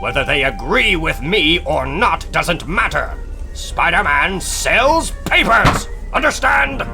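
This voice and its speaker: A man plays a bombastic middle-aged newspaper publisher from a comic book drama. He is bossy and greedy, swinging up into high, emphatic bluster over music. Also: sing-song, takes it slowly, gravelly, heavily acted. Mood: indignant bluster.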